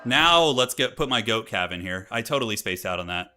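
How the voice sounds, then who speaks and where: A man's voice: fairly low pitch, animated, fairly quick, sharp tone. A man giving casual, slangy live commentary as he plays a strategy game, thinking aloud. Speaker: streamer playing a strategy game. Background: game music.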